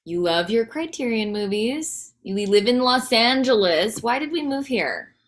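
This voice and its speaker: A woman's voice: a little high, lively intonation, moderate pace, natural voice. A woman at a script table read playing a candid, coaxing wife, direct and conversational. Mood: wry, candid. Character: candid, coaxing wife urging her husband toward his dreams.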